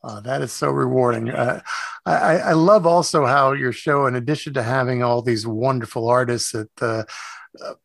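A man hosting a conversational radio interview, speaking appreciatively and a little haltingly, repeating words as he goes. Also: mid-range voice, gravelly, fairly bright tone, no echo, studio quality.

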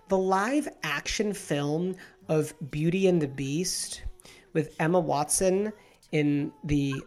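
Lively man hosting a talk show. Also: on the high side. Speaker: host. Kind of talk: casual chat.

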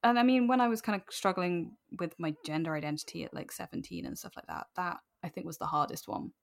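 Lower-pitched young British woman, chatty. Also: lively intonation, quick pace, gravelly. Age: late 20s. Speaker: podcast guest, writer. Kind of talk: candid conversational interview.

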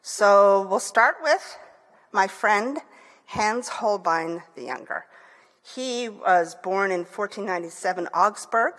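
A woman's voice: mid-range voice, expressive, slow, fairly bright tone. Enthusiastic Michigan woman in a reverberant hall. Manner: enthusiastic, with fillers and restarts. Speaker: author. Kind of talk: book talk.

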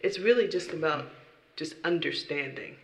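A woman's voice: deep voice, animated, normal speed, echoey room, low-quality recording. A woman who sings in worship, giving an earnest, emphatic personal testimony in an interview. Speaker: worship singer.

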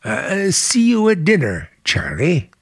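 An actor playing a charming middle-aged American uncle with quiet menace under a genial, deliberate manner, in vintage radio drama style. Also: male voice, sits high, wildly swooping pitch, no room sound, studio quality, full character voice. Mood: guarded relief.

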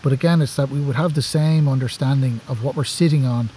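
A man's voice: medium pitch, fast talker, mellow, slight background noise. An Irish man from Dublin, a forestry worker, talking enthusiastically in a casual outdoor conversation, often repeating words as he thinks. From Ireland. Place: outdoors among camping gear.

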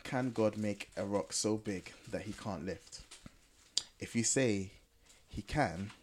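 Low-voiced man, some background noise. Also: slow pace. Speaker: podcast host. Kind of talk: casual discussion.

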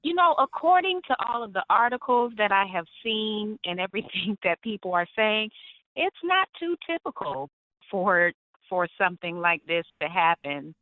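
A young adult woman from Atlanta, an actress telling lively stories in an interview and laughing often. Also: medium pitch, normal speed, dry recording. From Atlanta, Georgia. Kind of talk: interview on the phone. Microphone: phone. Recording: tinny phone line, dry.

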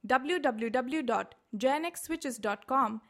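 A woman with an Indian accent reading a scripted corporate voice-over in a measured, clearly enunciated way. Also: high-pitched, a bit bright. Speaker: voice-over artist.

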